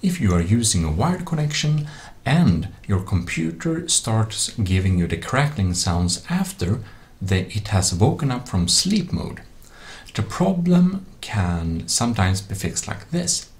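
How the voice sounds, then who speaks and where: A man with a low, deep voice presents a tech tutorial as a voice-over. He explains things in a casual, practical manner. His English has non-native phrasing. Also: very animated, unhurried. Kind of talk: casual explanatory screen-recording narration. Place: voice-over for a screen recording.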